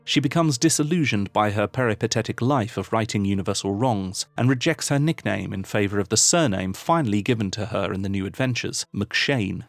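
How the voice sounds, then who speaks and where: A man narrating a scripted video essay in a measured, formal, articulate manner. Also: fairly low pitch.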